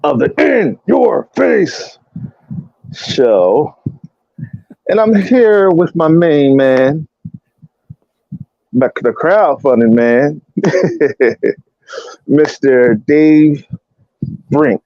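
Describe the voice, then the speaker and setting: A man hosting a talk show over a video call, greeting with hyped street-style shout-outs and introducing his guest. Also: on the high side, animated, very slow, gravelly, pauses often, no room sound, highs cut off. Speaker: podcast host. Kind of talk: casual interview chat.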